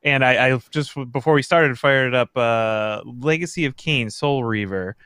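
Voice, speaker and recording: A man, around his thirties, talking casually as a podcast panelist in a halting, rambling way. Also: mid-range voice, fairly bright tone, smooth, no echo, studio quality. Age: millennial, around 30s. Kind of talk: casual group chat.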